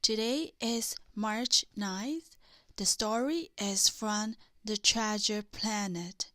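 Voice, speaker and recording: A woman reading a children's bedtime story aloud in a deliberate way, with dramatic emphasis. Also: mid-range voice, pushed and bright, dry recording, studio quality. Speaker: storybook reader.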